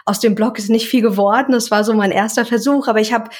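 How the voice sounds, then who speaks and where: A young woman speaks in a calm, reflective way as an interview guest. She talks about her work in an even, conversational tone. Now and then she stops to search for a word. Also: fairly high pitch, quick pace, husky. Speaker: guest, self-employed coach. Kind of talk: casual interview talk.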